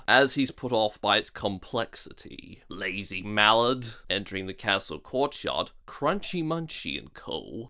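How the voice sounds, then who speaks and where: A man's voice: lowish voice, sharp tone. A man narrating a scripted review in a sardonic manner.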